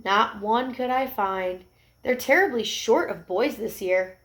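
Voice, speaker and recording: A woman voicing a gentle, patient mother dog in a storybook, coaxing and motherly. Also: on the high side, unhurried. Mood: patient, reassuring.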